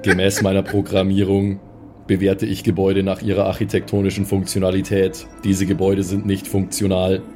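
A man's voice: low-pitched, monotone, brisk, music in the background, natural voice. A man voicing a cold, literal-minded killer robot in an improvised audio drama, with clipped, formal, emotionless phrasing.

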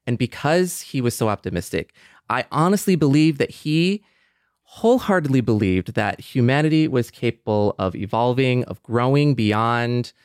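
A man with a clean, resonant voice hosts a conversational podcast. His pitch sits in the middle for a man, and the recording is dry and close. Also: lively intonation, normal speed, darkish tone, clear, resonant tone, no echo. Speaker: podcast host.

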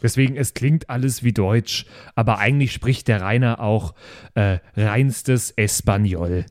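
A man running a tabletop role-play podcast as game master, teasing his players in quick banter. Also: fairly low pitch, darkish tone.

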